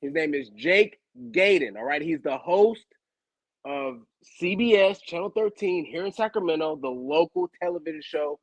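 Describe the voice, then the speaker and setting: Slangy former-player guy, animated storyteller, dry close sound, kinda high voice. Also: moderate pace, no room sound, rough recording. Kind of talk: casual podcast chat. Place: home studio, video call. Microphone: video call setup.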